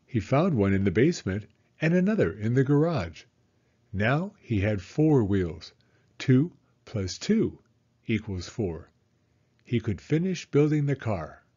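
Guy reading a kids picture book, slow and lively, bit husky, slightly muffled recording. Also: mid-range voice. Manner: expressive, deliberate phrasing for children. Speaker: children's story reader. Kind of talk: scripted storybook read-aloud narration.

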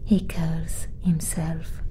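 A woman voicing a greedy, distrustful female alien smuggler in a soft ASMR whisper. She teases and drags her words out, with murmured hums between lines. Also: low-pitched, flat delivery, a little hiss or hum, low-quality recording. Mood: sly teasing. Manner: teasing, drawn-out, with murmured hums and little laughs. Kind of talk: ASMR roleplay.

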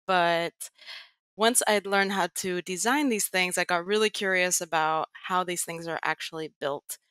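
A young woman with a bright, crisp voice sits lower in pitch than most, her slight American accent smooth and unforced. She talks in a measured way, pausing on little ums as she thinks, heard dry and close through a headset on a video call. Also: dry recording. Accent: American-leaning international. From Greece. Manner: measured, with frequent um pauses. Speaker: guest, tech writer and educator. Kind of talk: casual interview talk. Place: home room with plain wall. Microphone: headset over video call.

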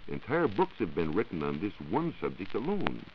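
A man's voice: low-pitched, wildly swooping pitch, normal speed. A man, a 1940s radio science reporter, giving scripted explanations in formal wording. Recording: dull, noisy 1940s radio recording.

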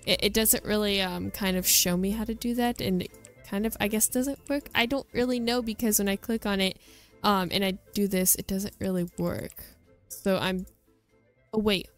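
A woman presents a game showcase in an informal, chatty way. Her voice sits at a middle pitch for a woman. Soft music plays behind her casual commentary. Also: fairly even intonation, moderate pace. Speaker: gaming video host.

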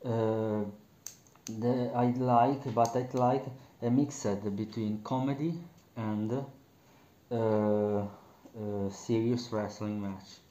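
A man's voice: fairly low pitch, steady tone, drawn-out words, mellow. A young man from Italy with an Italian accent, hosting a casual wrestling talk in English, with many fillers and restarts. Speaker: wrestling commentary host. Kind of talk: casual solo talk to camera. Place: small bedroom, indoors. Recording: roomy, dull lo-fi webcam sound.

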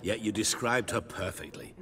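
A man's voice: fairly low pitch, fairly even intonation, moderate pace, fairly bright tone, close to an everyday voice. An actor playing a sly, genial male tavern informant in a video game, theatrical and knowing. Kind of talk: video game cutscene acting.

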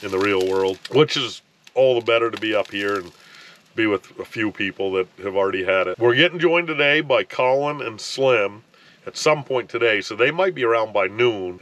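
A man in his thirties talking casually to camera from inside an ice fishing tent, rambling with restarts and filler words. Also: on the low side. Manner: relaxed, rambling, frequent restarts and "you know". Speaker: fishing vlogger. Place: ice fishing tent on a frozen lake, wind outside.

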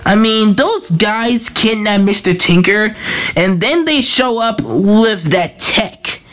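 A woman reading a fan comic dub aloud, voicing every part herself, in a slow, halting way with restarts. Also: mid-range voice, lively intonation, a bit bright.

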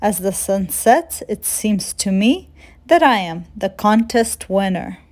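A magical snowman from a picture book, voiced by a woman, teases in singsong rhyme. The delivery is unhurried, expressive and full of playful confidence, at a middle pitch. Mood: gleeful, gracious.

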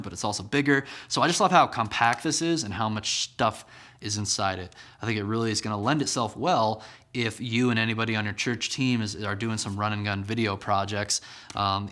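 A man's voice: on the low side, fairly bright tone. A man in his thirties hosting a casual gear review to camera, talking conversationally with fillers and restarts, recorded in a small studio room on a camera-mounted mic. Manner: conversational, many ums and restarts, enthusiastic. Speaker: church media channel host. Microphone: camera-mounted microphone.

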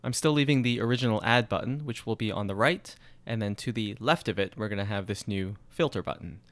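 A man explaining code step by step in a screencast tutorial, steady and methodical. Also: lowish voice, a little fast, no room sound. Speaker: programming tutorial instructor. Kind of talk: screencast coding walkthrough.

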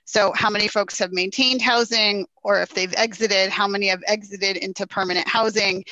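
Woman, city official, crisp forward voice, dry close headset sound, formal explanatory answers. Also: mid-range voice, fairly even intonation, moderate pace, bright, forceful tone, dry recording, poor audio. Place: indoor room at home or office. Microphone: headset on a video call.

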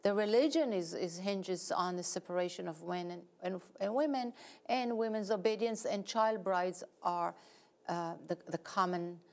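A middle-aged woman with an Israeli accent, a novelist explaining history in a measured, articulate way as a studio guest. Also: medium pitch. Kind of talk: explanatory interview answers. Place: TV studio set.

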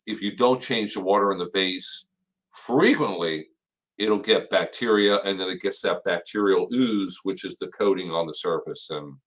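A man's voice: deep voice, moderate pace, fairly bright tone. A middle-aged man with an American accent, hosting a casual question-and-answer session as an expert. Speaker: host, extension plant expert. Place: small indoor office.

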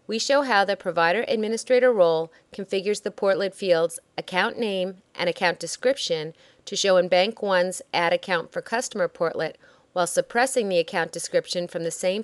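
A woman reading a scripted software demo narration in a measured, instructional way. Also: fairly low pitch, no room sound.

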